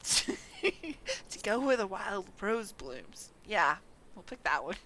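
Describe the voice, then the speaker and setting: A woman's voice: medium pitch, big pitch swings, slow, bright, forceful tone, a little hiss or hum. A woman livestreaming a game, reading the dialogue aloud and reacting casually.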